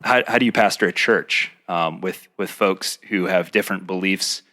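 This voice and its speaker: A man's voice: sits low, moderate pace, pushed and bright. A man co-hosting a podcast from someone's home, explaining things at length with many fillers and restarts. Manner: rambling, filler-heavy explaining. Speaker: podcast co-host. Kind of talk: casual podcast chat. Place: a private home.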